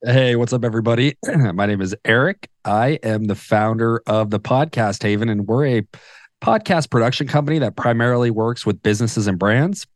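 A man introducing himself on a livestreamed podcast panel, relaxed and rambling with many fillers. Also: medium pitch, normal speed, no room sound, studio quality. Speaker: podcast production company founder.

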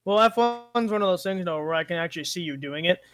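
A man's voice: high-pitched, lively intonation, quick pace, fairly bright tone, soft background music. A young man in a casual chat with friends, working things out aloud and second-guessing himself. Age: young adult. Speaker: guest friend answering questions.

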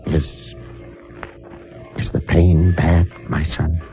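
A man playing a solemn, compassionate, fatherly priest in a 1950s radio drama, speaking in a grave and gentle way. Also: sits low, level tone, slow, soft background music. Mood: prayerful, sorrowful. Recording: muffled, lo-fi 1950 radio recording.

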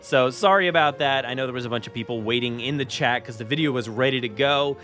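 A man's voice: medium pitch, fast talker, a bit bright, faint music behind. A man hosting a podcast, chatting casually and informally in a rambling way. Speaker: podcast host. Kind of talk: casual chatty show intro.

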